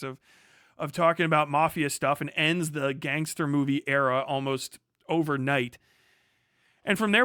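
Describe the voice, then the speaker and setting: Bright-voiced man rambling on a livestream. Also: on the high side, smooth, no echo, studio quality. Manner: opinionated, swears freely, digressive. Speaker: livestream host. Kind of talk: rambling livestream monologue on film and culture.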